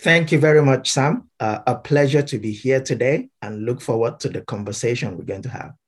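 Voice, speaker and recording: A man from Nigeria with a Nigerian accent, speaking reflectively as an interview guest in measured, halting, explanatory phrases. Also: medium pitch, slightly rough. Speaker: interview guest, Baptist minister.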